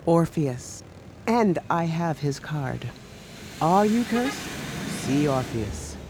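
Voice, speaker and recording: An actress playing a calm, intuitive investigator in a radio drama, with a knowing, dryly matter-of-fact manner. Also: female voice, low-pitched, takes it slowly, noisy background, heavily acted.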